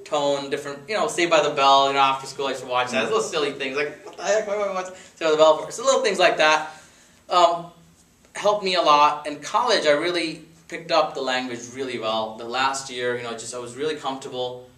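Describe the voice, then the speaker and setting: A man in his thirties, from India with Gujarati as his first language, chats and jokes loosely to friends after dinner. His voice sits a little high for a man. It comes from some distance off in a room that echoes. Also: a little fast, pushed and bright. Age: 30s. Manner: chatty, rambling, joking. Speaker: speaker at a dinner talk. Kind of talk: casual personal talk to friends. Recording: echoey room, mic far off.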